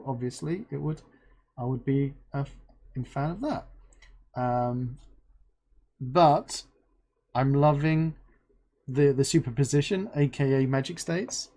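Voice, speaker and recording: A livestream host chatting playfully with a guest and reading chat aloud, bubbly and expressive. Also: mid-range voice. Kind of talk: live-stream interview and chat reading.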